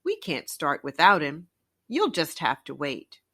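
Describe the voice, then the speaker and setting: A woman voicing a female animal friend in a children's story, a bossy but caring breakfast host, brisk and chiding. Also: low voice, wildly swooping pitch, a bit bright, slightly rough, exaggerated voice.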